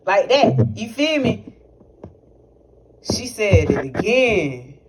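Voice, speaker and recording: A man's voice: sits high, big pitch swings, slow pace. A young man speaking tells a personal testimony in casual, rambling, profane talk, full of fillers and restarts. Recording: noisy recording with clicks.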